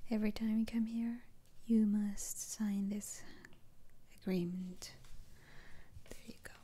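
A woman playing a soothing, faintly sly witch doctor in an ASMR roleplay, speaking in a breathy, halting whisper. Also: mid-range voice, steady tone, mellow. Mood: reassuring, hushed.

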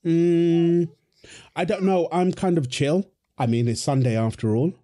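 A man's voice: on the high side, fairly even intonation, a little slow, warm tone. A man performing a wholesome audio roleplay as a husband and dad, teasing his partner and cooing fondly at their baby with a playful manner.

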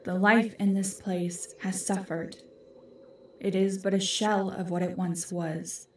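Casting: actress for ancient female woodland guardian, fantasy audio drama, stern and formal, slow delivery, very reverberant distant sound. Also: mid-range voice, flat delivery, a little mellow, slight background noise, close to an everyday voice. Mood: bitter, weary. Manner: formal, commanding, measured.

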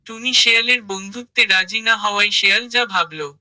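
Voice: mid-range voice, fast, pushed and bright, poor audio. A narrator reading a scripted children's story.

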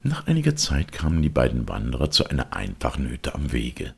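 A man narrates a storybook with a highly animated delivery. His mid-pitched voice is creaky as he reads the scripted tale. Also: a little fast. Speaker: audiobook reader.

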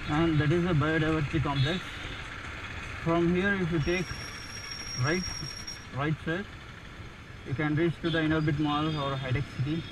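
A young man with an Indian English accent, chatting casually about landmarks as he rides a motorcycle through city streets. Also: a little high. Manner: relaxed, pointing out landmarks with pauses and fillers. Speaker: motorcycle vlogger. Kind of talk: casual guided-tour narration while riding. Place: riding a motorcycle on city roads, outdoors. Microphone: action camera mounted on the bike. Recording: wind and traffic noise on an action camera.

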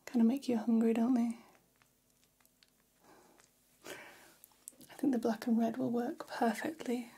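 A woman acts out a catty female vampire hairdresser for an ASMR roleplay. She whispers very breathily, slow and soft, with a mellow tone. She confides salon gossip up close in an even, hushed line. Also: a little high, husky, full character voice. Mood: soothing, intrigued. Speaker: ASMR performer. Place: small decorated room, indoors. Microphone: close ASMR microphone.

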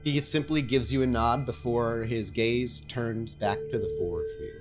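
A man working as game master, narrating a tabletop game in halting, deliberate phrases. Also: medium pitch, steady tone, over music.

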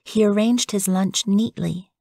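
A woman's voice: mid-range voice, a little slow, gravelly, a little mellow, no room sound. A woman narrating a children's story as a scripted audiobook storyteller.

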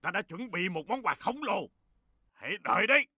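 A man's voice: sits high, big pitch swings, a little fast, crowd noise. An actor voicing a wounded, vengeful male monster in a cartoon, wailing and overwrought. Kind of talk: Vietnamese cartoon dubbing. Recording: loud music behind the voice.

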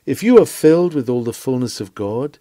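A man delivers a written sermon as an earnest preacher would, with rhetorical, emphatic phrasing. His mellow voice rises and falls in a very lively way. The sound is close and dry. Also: medium pitch, brisk, dry recording. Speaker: reader of historical sermons. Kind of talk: scripted sermon reading.

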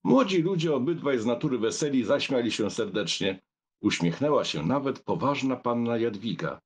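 A man narrating a literary audiobook in an expressive, measured storytelling manner. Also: medium pitch, husky.

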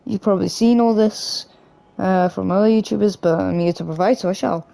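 A man with a hoarse, croaky voice from a cough, casually rambling through gameplay commentary as a gaming YouTuber. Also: high-pitched, a little fast, warm tone. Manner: rambling, loose, with many restarts and "like".

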